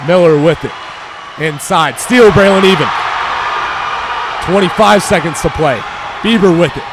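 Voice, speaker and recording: Young man, American accent, slightly high voice, clipped live sports commentary, excitable, loud crowd behind. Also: unhurried. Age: young adult. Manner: excitable, clipped calls that rise to shouts. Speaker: play-by-play commentator. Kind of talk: live basketball play-by-play commentary. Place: indoor high school gym during a game. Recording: noisy gym with loud crowd. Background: crowd noise, bouncing basketball.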